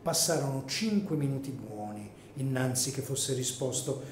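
A man narrating a gothic radio drama in a solemn, theatrical manner with deliberate phrasing. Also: mid-range voice, slight background noise. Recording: echoey room, music behind.

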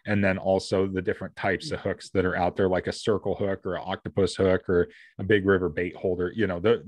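A man's voice: sits low, level tone, a little fast, a little mellow, no echo. An American man co-hosting a casual podcast, explaining gear in a rambling, detailed way. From US Pacific Northwest. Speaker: fishing podcast co-host. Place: indoor room, on a video call.

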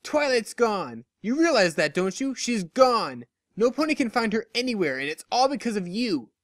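A narrator voicing a brash, hostile young female pegasus pony, accusatory and forceful, in a story. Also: medium pitch, sing-song, normal speed, no echo, studio quality, voice pushed up, natural voice. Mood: accusing.

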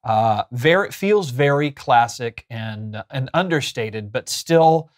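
A man's voice: mid-range voice, lively intonation, slow pace. Chatty man reviewing in a mid-range voice. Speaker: host of a pen review show. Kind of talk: casual product review to camera. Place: small furnished room indoors. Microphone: lapel mic.

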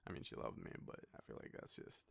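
A young Australian man playing an affectionate, nostalgic boyfriend in an intimate roleplay, talking to the listener in a halting, teasing way. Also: natural voice. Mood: fond, amused. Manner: hesitant, soft chuckles, halting pauses. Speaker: audio roleplay performer.

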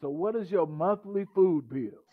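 A man's voice: high-pitched, expressive, a little slow, breathy edge, phone-quality sound. A man interviewing a guest, asking brief questions and giving short acknowledgements. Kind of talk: casual interview.

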